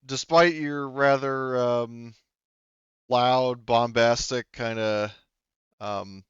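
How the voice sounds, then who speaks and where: A man's voice: medium pitch, steady tone, extremely slow, clear, resonant tone, no room sound, lo-fi sound. A man narrating a tabletop roleplaying game as game master, improvising in a hesitant, rambling way full of filler words.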